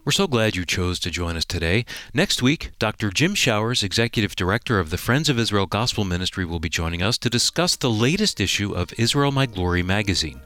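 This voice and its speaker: A man's voice: on the low side, animated, fairly quick, hardly any pauses, fairly bright tone. A man giving the scripted closing announcements and credits of a radio program in a formal tone. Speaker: executive producer and announcer.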